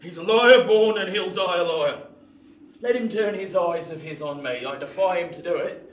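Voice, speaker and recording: An actor playing a rough, vengeful, defiant escaped convict in a radio play, with a forceful manner. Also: male voice, high-pitched, moderate pace, lightly acted. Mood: furious, defiant. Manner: forceful, vehement. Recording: roomy, distant, faint noise.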